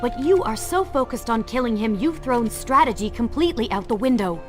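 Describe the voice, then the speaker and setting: An actress voicing a proud, commanding young princess in a video game, speaking briskly and directly. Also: female voice, on the high side, animated, close to an everyday voice. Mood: determined. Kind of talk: game cutscene acting. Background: game music.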